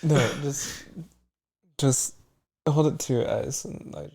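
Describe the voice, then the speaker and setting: A man playing a tabletop role-playing game who quietly and hesitantly describes what his character does. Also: medium pitch, slow pace, creaky. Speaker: player.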